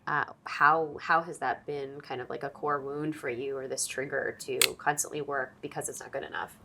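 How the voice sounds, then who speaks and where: A woman hosting a podcast interview, empathetic and expressive, with vivid reactions to her guest. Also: rumbling low, normal speed, noisy background. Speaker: podcast host. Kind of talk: conversational interview.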